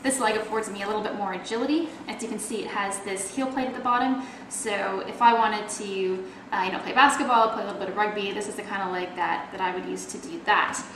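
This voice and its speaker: A woman's voice: mid-range voice, steady tone, fast talker, hardly any pauses. Young canadian woman vlogging, chatty w lots of ums, roomy echo, faint hiss. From Toronto, Canada. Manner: chatty, explains with many fillers. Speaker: athlete video blogger. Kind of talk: casual video blog talk.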